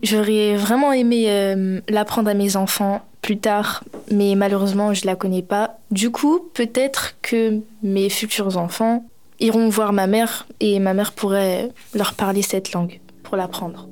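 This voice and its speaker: A woman's voice: mid-range voice, fairly even intonation, measured pace, darkish tone. A young woman from France giving a personal testimony in an unscripted way.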